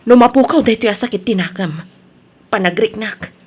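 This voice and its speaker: A woman acting a hurt, sharp-tongued grown daughter who holds a grudge, in an Ilocano radio drama. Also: mid-range voice, normal speed, husky, close to an everyday voice. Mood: hurt, subdued.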